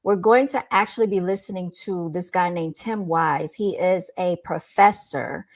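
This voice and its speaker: A woman's voice: fairly low pitch, moderate pace. A woman with a light voice hosting a livestream, chatting informally with her viewers into a microphone. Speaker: livestream host. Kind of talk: casual livestream chat with viewers. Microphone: speaking into a microphone.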